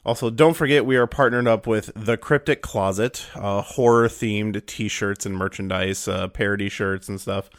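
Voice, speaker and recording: A young man hosting a podcast, hoarse and raspy from a cold, rambling through his intro with fillers and restarts. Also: fairly low pitch, steady tone, normal speed, hardly any pauses, dry recording, studio quality. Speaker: podcast host.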